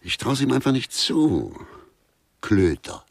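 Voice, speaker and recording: An actor playing a wry writer in a radio play, gossiping in a pedantic, deadpan way and relishing his lists. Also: medium pitch, slow, vocal fry, dry recording, heavily acted. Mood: wry, musing. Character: wry male writer with writer's scruples, gossiping about suspects. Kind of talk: German radio play dialogue.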